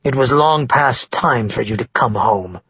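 A man's voice: mid-range voice, a little slow, a bit bright, slightly rough, no echo, highs cut off, exaggerated voice. A man voicing a stern, powerful father who speaks coldly and growls, in an audiobook reading. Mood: cold anger. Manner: cold and emotionless, growling.

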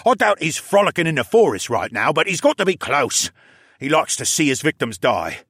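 A man voicing a cowardly, whiny male captive in an audiobook, high-strung and prone to shrieking. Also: sing-song, moderate pace, a bit bright, breathy edge, no room sound, studio quality, full character voice. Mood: sullen, huffy. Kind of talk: audiobook character acting.

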